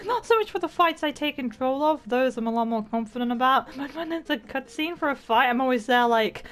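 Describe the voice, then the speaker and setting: Woman, gaming commentary, reactive, chatty, sweary, fairly high pitch, faint game music. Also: lively intonation, fairly quick, a bit bright. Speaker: gaming video creator. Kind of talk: casual let's play commentary.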